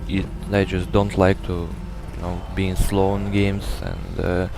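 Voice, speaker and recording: Deep halting man with a Russian accent. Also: monotone, vocal fry, music in the background. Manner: halting, hesitant, many filler sounds. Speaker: gamer giving a build guide. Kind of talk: game guide commentary.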